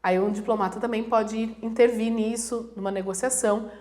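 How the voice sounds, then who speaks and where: A woman's voice: fairly high pitch, steady tone, normal speed, mellow. A woman from Brazil, a guest professor, explaining things conversationally and acting out examples in quoted voices. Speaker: guest, international relations professor.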